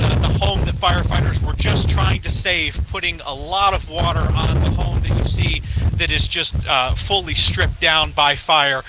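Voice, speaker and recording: Higher-voiced man reporting live over loud outdoor noise. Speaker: field reporter. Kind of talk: live breaking news reporting. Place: outdoors at a trailhead in high wind.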